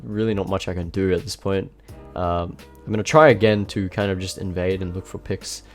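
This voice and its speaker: A man with a blocked nose and a slightly nasal voice, giving relaxed, self-mocking voice-over commentary on his own match. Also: low-pitched, a little fast. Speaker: gameplay commentator. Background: faint background music.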